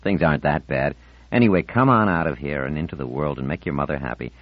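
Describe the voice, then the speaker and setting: A man's voice: extremely low pitch, fast, dry recording, dull audio. A man voicing a cheerful, teasing teenage boy in an audiobook, breezy and playfully reasoning.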